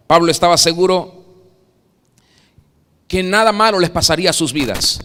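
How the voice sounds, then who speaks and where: A Venezuelan man preaching a sermon in a church, building to loud dramatic peaks and then dropping soft. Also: high-pitched. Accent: Venezuelan Spanish. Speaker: pastor. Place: church sanctuary. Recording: long reverb of a church hall.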